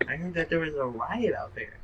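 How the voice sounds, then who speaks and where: A man's voice: a little high, expressive, brisk, pushed and bright, highs cut off. A fussing, doting mother who frets over her son, as a man puts her on. Her voice is lifted well above a normal man's range. A faint hiss sits underneath. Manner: fussy, affectionate, worried. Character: doting middle-aged mother on the phone.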